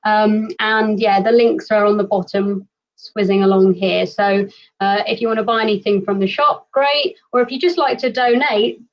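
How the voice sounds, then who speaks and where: A woman's voice: medium pitch, fairly even intonation, moderate pace, a little hiss or hum. A British woman hosting a casual online livestream chat over a video call. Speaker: host of an online fan convention. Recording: dull, lo-fi online call audio.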